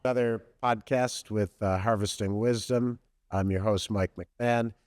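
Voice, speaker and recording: A man hosting a podcast in a relaxed way, with many hesitant ums and uhs. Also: lowish voice, measured pace, dry recording, studio quality. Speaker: podcast host. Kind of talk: casual podcast interview.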